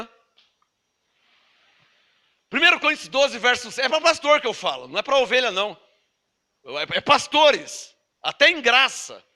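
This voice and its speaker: A man's voice: very high voice, bright, forceful tone. A Brazilian man with a Brazilian Portuguese accent preaching a sermon, emphatic and scolding, throwing rhetorical questions at his listeners. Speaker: pastor.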